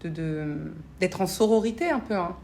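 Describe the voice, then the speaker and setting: A woman's voice: fairly low pitch, moderate pace, vocal fry, darkish tone, a little hiss or hum. A woman giving reflective interview answers in an earnest, searching manner, often repeating words. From grew up between French and Congolese cultures. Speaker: interview guest, parliamentary assistant and association founder.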